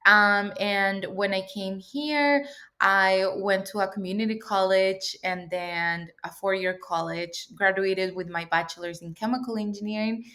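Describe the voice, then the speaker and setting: A chatty young woman from El Salvador tells her story as an interview guest. She talks in loose, filler-heavy sentences and laughs easily. Her voice sits at an ordinary middle pitch for a woman. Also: a little slow, bright, forceful tone. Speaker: guest, PhD student. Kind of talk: casual interview chat.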